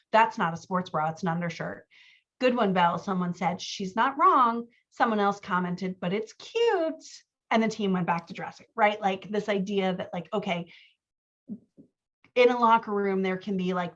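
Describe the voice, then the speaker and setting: A woman talking casually as a writing coach, giving feedback and acting out bits of dialogue in an animated, conversational way. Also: medium pitch. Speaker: book coach giving feedback on a writer's scenes. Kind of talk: casual coaching talk mixed with reading out scene dialogue. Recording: slightly dull, lo-fi audio.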